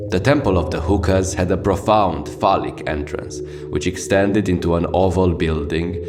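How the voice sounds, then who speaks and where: A man playing a sardonic, jaded late-sixties radio host, delivering a scripted, poetic monologue in a deadpan manner. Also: deep voice. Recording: music behind the voice. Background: music.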